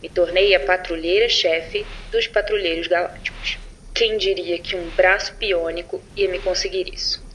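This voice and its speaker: A woman's voice: fairly low pitch, steady tone, moderate pace, a bit bright, a little hiss or hum, close to an everyday voice, rough recording. A Brazilian woman playing a sarcastic, irritable chief space patroller, recording an informal mission log for an audio drama. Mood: sardonic, annoyed. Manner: informal, sardonic, foul-mouthed.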